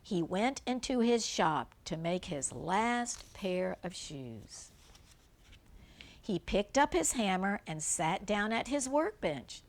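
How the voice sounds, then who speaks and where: A woman's voice: medium pitch, very animated, takes it slowly. An older woman reads a children's storybook aloud like a grandmother, adding simple, chatty explanations for a young listener.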